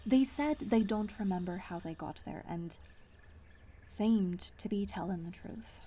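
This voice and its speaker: A woman's voice: mid-range voice, measured pace, mellow, husky. A woman playing a guarded, stubborn dweller of the wilds in a fantasy audio roleplay, speaking in an earnest, naturalistic, hesitant way. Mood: uneasy.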